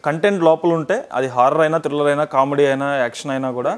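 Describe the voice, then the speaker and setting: A man with a Telugu accent conducts a casual interview. His voice sits a little high for a man and he talks very rapidly, with an encouraging, chatty manner. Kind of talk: casual promotional film interview, mixing Telugu and English.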